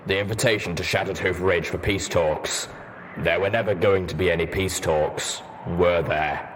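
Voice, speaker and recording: A man's voice: sits low, steady tone, normal speed, a bit bright. A man voicing a grim armored ghoul pony soldier, bitter and guarded, with a stern, weighty delivery. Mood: cold accusing. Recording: long reverb, faint noise.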